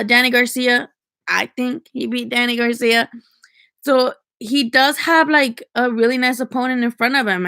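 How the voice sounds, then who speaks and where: A woman's voice: a little high, sharp tone, dry recording, studio quality. A young woman from Mexico with a Mexican accent, Spanish her first language, chatting casually on a livestream at home, opinionated, swearing freely and filling gaps with "um" and "like". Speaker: boxing streamer answering chat.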